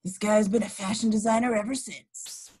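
Casting: knowing, gossipy informant read by a woman, raspy mid-range voice, amateur online script reading. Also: medium pitch, fairly even intonation, moderate pace, warm tone, close to an everyday voice. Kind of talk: amateur table read over video call. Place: home, remote video call.

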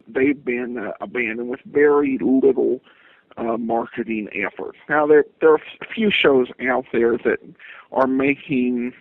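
A man's voice: medium pitch, breathy edge, no echo. A man answering interview questions as a podcast guest, speaking hesitantly with repeated stammered restarts, over a call. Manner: hesitant, halting delivery with frequent stammered repeats. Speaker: guest, podcaster of old-time radio shows. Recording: tinny phone-line sound, dry.

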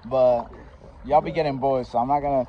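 A man's voice: mid-range voice, steady tone, a little fast, dull audio. Slangy young man vlogging by a pool. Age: young adult. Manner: loose slangy chatter, laughs a lot. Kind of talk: casual vlog chat. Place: outdoors by a backyard pool. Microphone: phone held at arm's length.

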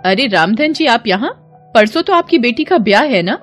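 A woman voicing a confident, authoritative female police inspector in a cartoon story, brisk and commanding. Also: fairly high pitch, animated, faint music behind, pitched above the performer's own voice. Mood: polite.

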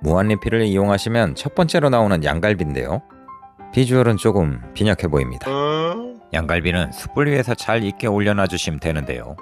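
Low-voiced guy doing a food vlog, voice goes up and down a lot, chatty. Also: fairly quick, faint music behind. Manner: lively, descriptive, chatty with friends. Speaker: food vlogger. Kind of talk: restaurant review narration. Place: busy barbecue restaurant.

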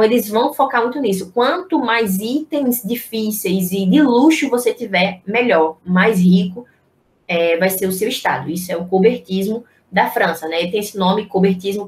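A young Brazilian woman giving an informal, explanatory online history lecture, posing rhetorical questions as she teaches over a video call. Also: mid-range voice. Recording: echoey room on video-call microphone.

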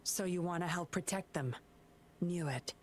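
An actress voicing a sly, teasing young puppeteer woman in a game, low and smooth. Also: moderate pace, lightly acted. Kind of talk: game voice acting.

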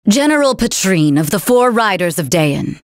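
A woman voicing a cruel, haughty female general in a video game, taunting with a theatrical, drawn-out delivery. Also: mid-range voice, big pitch swings, no echo, clean studio sound. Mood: haughty, mocking. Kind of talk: video game character voice lines.

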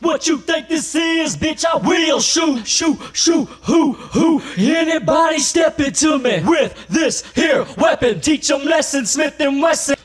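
A man performing a rap vocal as an aggressive armed figure, shouting slow drawn-out lines over a beat. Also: very high-pitched, animated, soft background music, voice pushed up. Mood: menacing. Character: aggressive rapping gunman boasting of weapons.

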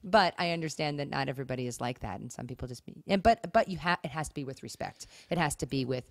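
A woman with a fairly low voice talks as a podcast co-host in a very dry, close recording. She makes her points emphatically and voices example phrases as she goes. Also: fast talker, no room sound. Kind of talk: conversational talk on marriage advice.

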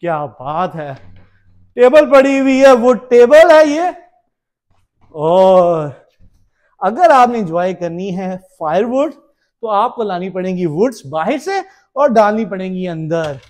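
High-pitched, exclamatory man vlogging. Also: takes it slowly, long pauses. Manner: animated, exclamatory, showman-like. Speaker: travel vlogger. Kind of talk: casual vlog tour narration. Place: outdoors on a wooded road, then inside a cottage.

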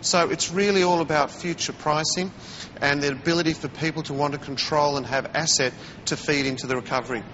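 A man being interviewed as a commodities analyst on live TV news, talking in a measured way and murmuring agreement while others speak. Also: fairly high pitch, fairly even intonation, a bit bright, big-room sound, highs cut off, slight background noise. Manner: measured, with frequent murmured acknowledgments. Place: remote TV studio.

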